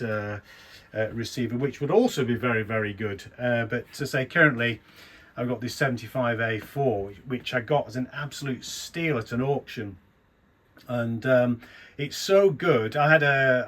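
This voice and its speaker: A man with an English accent and a medium-pitched voice talks as a radio amateur. His delivery is conversational and keen, like one hobbyist chatting to another over the air. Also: fairly bright tone. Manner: chatty, enthusiastic. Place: small indoor radio room.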